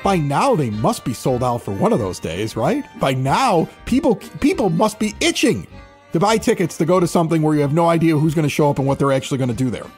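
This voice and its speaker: A man's voice: a little high, big pitch swings, fairly quick, faint music behind. A man hosting a sports podcast, talking in a casual, rambling, incredulous way. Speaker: podcast host. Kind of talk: casual chat. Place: home bar.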